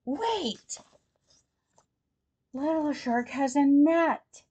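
Very slow-reading older woman, higher voice. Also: muffled recording. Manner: deliberate, expressive reading for young children. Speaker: grandmother host reading picture books to children. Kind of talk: children's storybook read-aloud. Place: small plain room at home, seated in armchair.

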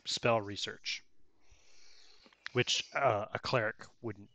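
A man's voice: on the low side, slow pace. Bearded man running a tabletop game. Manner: relaxed, chatty narration with asides. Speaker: game master. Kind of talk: narrating a tabletop game over a video call. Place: small room at home. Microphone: headset.

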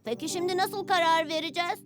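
Little boy, very high voice, eager, boastful, preschool cartoon, music behind. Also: fast, fairly bright tone. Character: excitable young boy who loves flying planes. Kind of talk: dubbed preschool cartoon acting.

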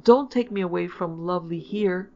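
Storybook child role, played by a woman: gentle but fretful little girl, imploring, slightly creaky voice. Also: lowish voice, normal speed, lo-fi sound. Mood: tearful, imploring. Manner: querulous, imploring.

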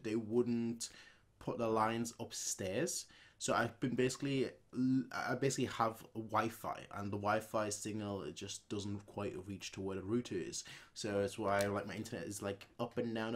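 A man in his twenties giving casual, unscripted reaction commentary from a home room, rambling and repeating his phrases. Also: mid-range voice. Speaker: reaction video host. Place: small home room indoors.

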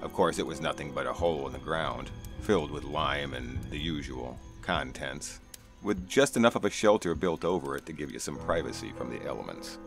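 A man's voice: a bass voice, lively intonation, a little fast, creaky. A middle-aged man narrating a first-person spooky story in a conversational, folksy way. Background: music.

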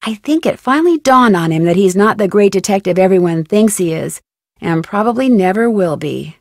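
A composed young American woman detective from a mystery game, played by an actress. She speaks at a medium pitch, calmly laying out what she knows. The recording is dry and close. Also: normal speed, no echo, natural voice. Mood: matter-of-fact. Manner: calm, clear-headed, explanatory. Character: composed, sensible young female amateur detective. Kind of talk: scripted video game voice acting.

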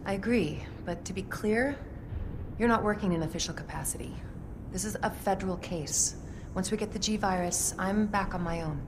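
A woman playing a cool, secretive, injured agent in a video game drama, measured and earnest. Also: mid-range voice, background noise, lightly acted. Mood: guarded.

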